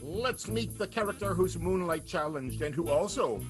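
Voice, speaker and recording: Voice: mid-range voice, lively intonation, normal speed, rough recording. An announcer narrating an improvised radio serial in a grandiose, dramatic, theatrical way. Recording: music behind the voice.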